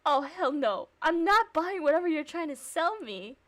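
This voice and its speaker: A woman streamer reading visual novel narration and dialogue aloud, with sarcastic, expressive reactions. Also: sits high, normal speed.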